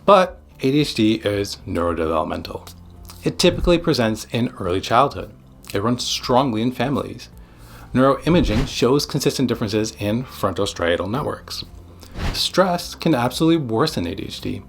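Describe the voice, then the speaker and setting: A man giving scripted educational narration as a psychiatrist, speaking in short, deliberate, emphatic phrases. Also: medium pitch, big pitch swings, vocal fry. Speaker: psychiatrist presenting an explainer video.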